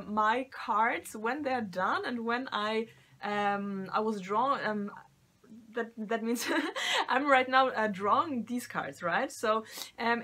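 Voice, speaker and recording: A woman's voice: on the high side, bright, forceful tone. A middle-aged woman and non-native English speaker, talking casually to camera as a tarot reader and vocal coach, with many fillers and restarts. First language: not English.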